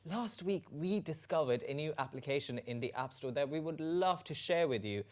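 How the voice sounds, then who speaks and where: A man co-hosting a studio tech show, playful and teasing, with quick reactions to his co-host. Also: a little high, animated, darkish tone. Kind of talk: presenting a studio tech segment with banter.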